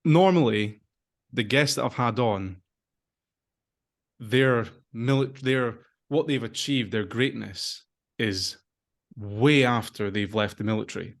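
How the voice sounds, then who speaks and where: A man's voice: medium pitch, slow. A man hosting a podcast, introducing his guest in a friendly, informal way. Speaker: podcast host. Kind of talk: conversational interview.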